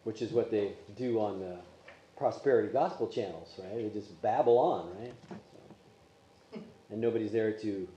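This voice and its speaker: A man's voice: lowish voice, a little slow, pauses often, warm tone, lo-fi sound, a little hiss or hum. Give me a man teaching Sunday school in an echoey room. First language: Polish. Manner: conversational, asks the class questions. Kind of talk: Bible class teaching. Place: church classroom.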